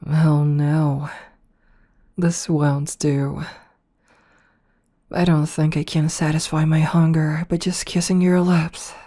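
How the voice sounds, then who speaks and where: A toying vampire princess, voiced by a woman in an ASMR audio roleplay, her tone dark and mellow and set a little low. She drawls slowly and softly, close to the mic, often dropping into a whisper. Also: level tone, exaggerated voice. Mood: teasing. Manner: soft, slow and toying, slipping into whispers. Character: teasing noble female vampire princess, predatory and playful.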